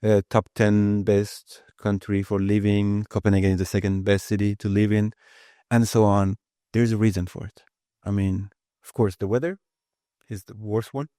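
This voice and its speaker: A man's voice: on the low side, level tone, normal speed, mellow, no room sound, clean studio sound. A man in his thirties whose first language is Persian, answering interview questions in a casual, earnest way and often restarting his phrases. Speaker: guest, IT lead.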